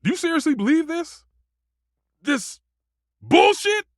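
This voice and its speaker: A man's voice: very high-pitched, big pitch swings, unhurried, no echo, studio quality, full character voice. A man voicing a passionate, proud kitchen stove in a video game, a dramatic food purist who speaks emphatically and with grand flourishes. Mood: exasperated, outraged. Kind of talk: theatrical video game character dialogue.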